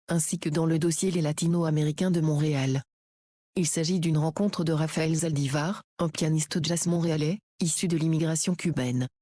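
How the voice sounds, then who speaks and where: Low, husky woman reading audio description. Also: no room sound, clean studio sound. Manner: steady, even reading. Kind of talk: scripted narration.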